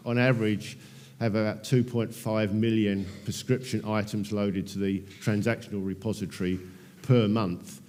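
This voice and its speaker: A man in his fifties with an English accent, giving a relaxed technical conference talk full of ums and dry self-deprecating asides. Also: on the low side. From England. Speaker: conference presenter. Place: large conference hall. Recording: long hall reverb.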